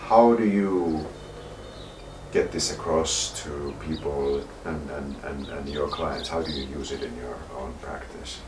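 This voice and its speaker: A man's voice: a bass voice, measured pace, noisy background. A man interviewing, asking long thoughtful questions with some hesitation. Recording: roomy, distant off-camera mic.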